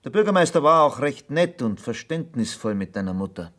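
A storyteller recounts village troubles in a mid-range voice. The delivery is radio play narration. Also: male voice, mid-range voice, very animated, fairly quick, a bit bright. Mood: matter-of-fact.

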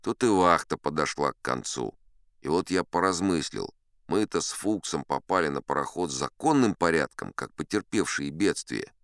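An actor playing a seasoned, unflappable sea captain who spins tall tales in a chatty, wry, theatrical audiobook manner. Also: male voice, a bass voice, animated, moderate pace, a bit bright, clean tone, dry recording, clean studio sound, full character voice. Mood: animated, confiding. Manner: conversational, wry, leisurely asides to the listener. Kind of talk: theatrical audiobook storytelling in first person.

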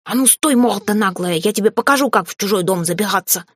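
A stocky, scrappy house spirit from a fantasy storybook, put on by a woman. He talks in folksy rustic phrases with a burred r, gruff yet easily flustered, recorded close and dry. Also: mid-range voice, quick pace, no echo, lightly acted. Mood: indignant scolding.

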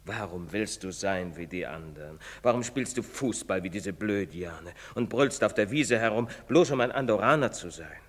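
An actor playing an earnest priest in a stage drama, pleading and moralizing in rhetorical style. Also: male voice, fairly low pitch, lively intonation, moderate pace, few pauses. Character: earnest, preaching priest, later old and guilt-ridden. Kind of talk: theatrical stage drama acting. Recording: reverberant theatre stage recording.

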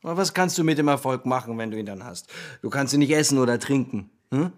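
An actor playing a careless, mocking rich young drinker with playful philosophising, in a German radio play. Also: male voice, mid-range voice, animated, fast, close to an everyday voice. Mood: musing.

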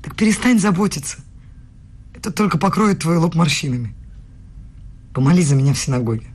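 A woman's voice: low-pitched, animated, fairly quick, darkish tone, husky. An actress playing a stubborn, tender young woman student in love, in a radio play. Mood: pleading.

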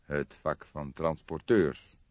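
A seasoned police detective from a crime audiobook, voiced by a male reader. He is calm and cynical, with a low voice and measured, mocking delivery. The sound is dry and a little muffled. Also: no echo. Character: grey-haired veteran police detective, calm, wry, cynical man. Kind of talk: dramatised audiobook character reading.

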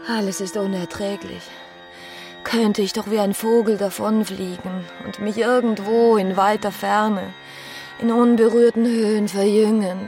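A woman's voice: mid-range voice, fairly even intonation, unhurried, mellow, faint music behind, lightly acted. An actress playing a weary, despairing woman's inner thoughts in a radio drama, brooding and dramatic. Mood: despairing, yearning. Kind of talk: radio drama interior monologue.

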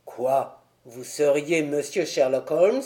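A woman voicing a burly, stubborn male gatekeeper and former boxer with a gruff, blunt delivery in an audiobook story. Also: sits low, slow, dark tone, voice pushed down. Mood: astonished, admiring. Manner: firm, blunt.

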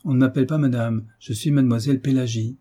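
A man's voice: fairly high pitch, big pitch swings, brisk, mellow, breathy edge, voice pushed up. A man voicing a prim, sour school supervisor, an unmarried woman with a pinched, curt, officious way of speaking, in a storybook reading. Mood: snappish.

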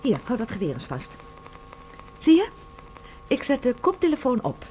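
Anxious accomplice woman, lively swooping pitch, earnest, excitable, radio drama, faint noise. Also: normal speed. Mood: earnest explaining. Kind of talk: Dutch radio drama acting. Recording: noisy radio drama recording.

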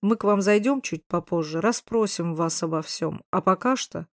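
A woman narrator voicing a polite, mild male police investigator who speaks in a formal, measured way. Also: mid-range voice, fairly even intonation, dark tone, dry recording, clean studio sound, close to an everyday voice. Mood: courteous, placating.

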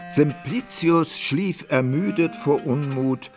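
A man's voice: on the high side, takes it slowly, soft background music. A man narrating a classic literary tale for a radio play in scripted, old-fashioned prose.

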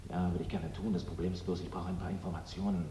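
An actor playing a matter-of-fact male newsman in a German radio drama, speaking briskly as if on a telephone. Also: low voice, flat delivery, lightly acted. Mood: businesslike. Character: businesslike male newsman phoning in an exclusive. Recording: noisy, echoey radio recording, distant mic.

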